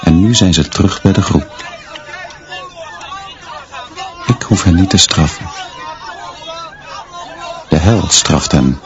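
An actor playing a stern, austere schoolteacher who narrates a radio drama in terse, measured, clipped sentences. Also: male voice, low voice, crowd noise. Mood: grim, detached. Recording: dull sound, noise and murmur behind.

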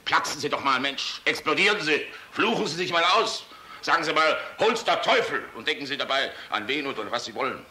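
A man's voice: on the high side, lively intonation, a little fast, bright, forceful tone. An actor playing a jovial, outspoken, fatherly air force general in a German radio drama, hearty, sardonic and commanding. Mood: urging.

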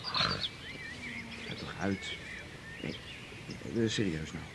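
A gentle, coaxing farmer. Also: male voice, sing-song, slow pace, vocal fry, very noisy, exaggerated voice. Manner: low-key, coaxing, playful. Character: gentle wealthy farmer courting a woman. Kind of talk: 1980s radio drama acting.

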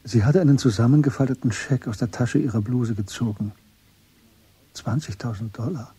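A man narrating a German literary radio drama in a measured, understated way. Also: mid-range voice, warm tone, husky, no room sound.

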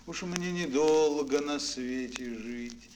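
An aged, fatherly tsar from a children's fairy-tale record. He declaims with stately solemnity and extremely slow, weighty phrasing, on a recording with clearly audible noise and crackle. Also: a little high, a little mellow. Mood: pleading, tender. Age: older. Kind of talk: fairy-tale audio play acting.

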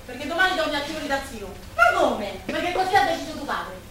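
An actress in live radio theatre playing a chatty, excitable working-class housewife with a Roman accent. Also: female voice, high-pitched, fast. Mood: bossy, chatty. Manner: chatty, exclamatory. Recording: echoey hall, far off, crowd noise.

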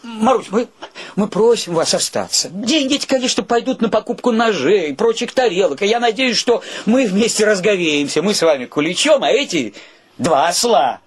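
An actor playing an earnest, excitable friend in a radio play, courteous yet bluntly emphatic. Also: male voice, sits high, moderate pace, breathy edge. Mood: indignant, pleading. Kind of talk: theatrical radio play acting.

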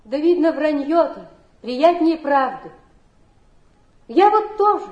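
A woman's voice: sits high, animated, slow pace, a little mellow, lo-fi sound. An actress playing a fragile, desperate young woman clinging to her dreams, in a plaintive theatrical stage manner. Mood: wistful, melancholy. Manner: plaintive, dramatic stage delivery.

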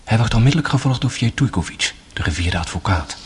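A man narrating a radio drama as a grave, sorrowful young brother, in measured, literary phrases that sink to a hush. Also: on the low side, animated, very noisy, lightly acted.

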